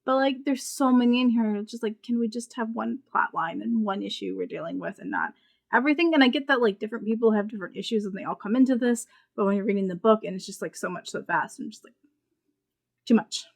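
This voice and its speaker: A woman's voice: fairly high pitch, fast talker, vocal fry. A woman reviewing books in a casual, informal way, thinking aloud in loose, rambling sentences. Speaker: book reviewer. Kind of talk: casual spoken book review.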